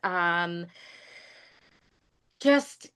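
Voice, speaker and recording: A woman's voice: on the low side, animated, pushed and bright, clear, resonant tone, low-quality recording. Upbeat woman hosting a video call. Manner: upbeat, encouraging, quick asides.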